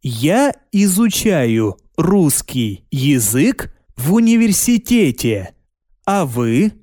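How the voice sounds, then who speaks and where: A man reading a language lesson aloud, pronouncing each word deliberately and clearly. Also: fairly high pitch, big pitch swings, mellow, dry recording, studio quality. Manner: carefully spaced, deliberate pronunciation. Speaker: language teacher.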